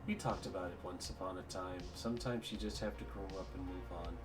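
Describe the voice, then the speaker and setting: Low-voiced man running a tabletop game. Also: quick pace, faint music behind. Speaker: game master. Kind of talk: narrating a tabletop roleplaying game.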